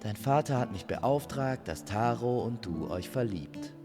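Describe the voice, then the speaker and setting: A man's voice: medium pitch, lively intonation, measured pace, long reverb tail, music in the background, pitched above the performer's own voice, natural voice. A man playing an earnest, apologetic young male angel in an audio fairy tale. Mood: confessing.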